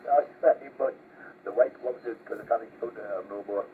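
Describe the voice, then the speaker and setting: A man's voice: lowish voice, normal speed. A man with laryngitis chatting casually over CB radio as a caller. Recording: narrow, noisy CB radio transmission.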